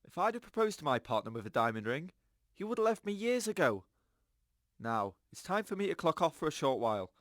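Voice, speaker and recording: A man's voice: a little high, big pitch swings, fairly quick, a bit bright, no echo, clean studio sound. A man playing a chatty, blunt radio presenter in an audio drama. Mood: breezy.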